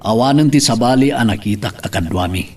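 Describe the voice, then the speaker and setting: A man's voice: medium pitch, a little fast, a little mellow, slightly rough. A man acting a troubled older father in an Ilocano audio drama. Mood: earnest, troubled. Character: troubled older father who visits the cemetery.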